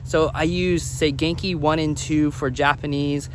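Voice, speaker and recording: Man, slightly high voice, casual vlog advice, emphatic repetition, faint urban vehicle noise. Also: steady tone, unhurried. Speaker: language-learning vlogger. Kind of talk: casual advice talk to viewers.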